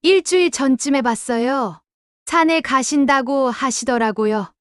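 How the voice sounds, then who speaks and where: A talkative village shopkeeper with a high voice, played by a woman in a radio drama. She answers readily and chats freely. The recording is close and dry. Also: measured pace, a bit bright, no echo. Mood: chatty. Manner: chatty and forthcoming. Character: middle-aged woman shopkeeper, chatty and helpful. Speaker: village shop owner. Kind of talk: audio drama acting.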